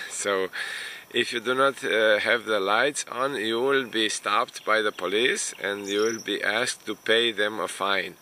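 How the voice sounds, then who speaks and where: A man whose first language is Romanian, talking casually to camera in his second language as an immigration consultant, unhurried and hesitant with many fillers. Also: lowish voice, creaky, sharp tone, slight background noise.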